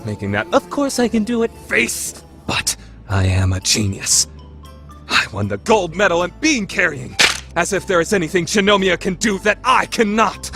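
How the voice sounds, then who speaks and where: A man's voice: high voice, big pitch swings, normal speed, gravelly, over music, heavily acted. A man playing a proud but panicky teenage student council president in an anime radio play, melodramatic in delivery. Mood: grave resolve. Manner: melodramatic, swinging from grave to yelping. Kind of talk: anime fan dub radio play acting.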